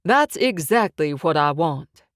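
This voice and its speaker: A woman's voice: deep voice, animated, measured pace, dry recording, clean studio sound. A woman voicing a smug, menacing male mob boss who talks with amused, sing-song menace.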